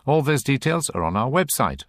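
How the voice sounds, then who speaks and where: A man hosts a radio programme in a close, dry studio. His delivery is scripted, with animated swings of pitch as he presents. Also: a little fast, no echo.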